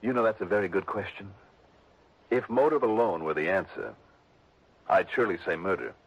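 An actor playing a dry, probing insurance investigator who also narrates, in a 1960s radio drama. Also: male voice, low-pitched, lively intonation, fairly quick, husky, heavily acted. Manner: dry, needling questions. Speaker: narrator and lead detective. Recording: dull lo-fi old radio broadcast.

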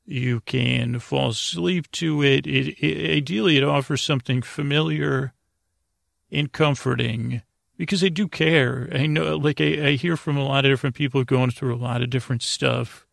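Male, heavily creaky gravelly voice, dry close sound, halting meandering bedtime monologue, goofy, repeats himself. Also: mid-range voice, steady tone, dry recording. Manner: halting, repeats words, drifts off on tangents; goofy, gently silly. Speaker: host of a bedtime sleep podcast.

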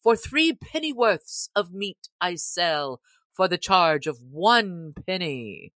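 A woman's voice: lowish voice, big pitch swings, slow, fairly bright tone, no room sound, exaggerated voice. A woman voicing a jolly, bold young male outlaw posing as a butcher, speaking merrily in archaic storybook English. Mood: merry, lilting. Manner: merry, lusty street-hawker calls.

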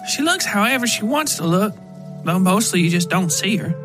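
A man voicing an excitable, informal talking animal from a chicken coop in a storybook tale. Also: sits high, moderate pace, music in the background, pitched above the performer's own voice. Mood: uneasy, explaining.

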